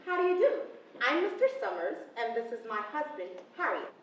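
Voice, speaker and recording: An actress plays a prim, composed therapist in a stage farce. Her voice is high and lively, heard far off in a very echoey hall with faint noise. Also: fairly quick, lo-fi sound. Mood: polite. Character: prim, unflappable sex-changed therapist, formerly a man. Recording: very echoey hall, distant noisy recording.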